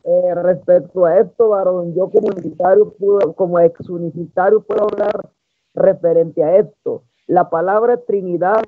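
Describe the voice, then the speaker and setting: A man gives an informal theological talk as a preacher, halting and repeating phrases, over a tinny live stream. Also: sits high, no room sound. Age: 20s. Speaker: preacher hosting a live church broadcast.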